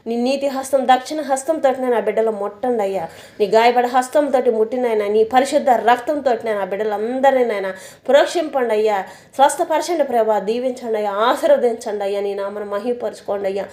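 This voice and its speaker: A woman prays aloud in a fervent, pleading stream of repeated invocations. Her voice sits somewhat high yet sounds mellow and warm. She presses on at a rapid clip, with hardly a pause between phrases. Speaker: prayer leader.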